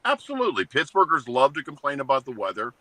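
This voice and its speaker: A man in his seventies with a very bright, forceful voice. He co-hosts a relaxed chat show, cracking blunt jokes and listing his dislikes in short, punchy lines. His sound is close and dry, with no room around it. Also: mid-range voice, quick pace, no echo, rough recording. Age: 70s. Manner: blunt, joking, rants in short flat statements. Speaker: co-host. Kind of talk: casual chat.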